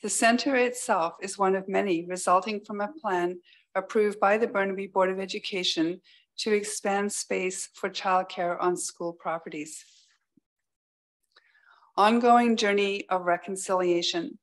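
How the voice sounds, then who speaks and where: A woman's voice: mid-range voice, steady tone, measured pace. Woman reading school district news aloud. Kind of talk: scripted narration, read aloud at a meeting.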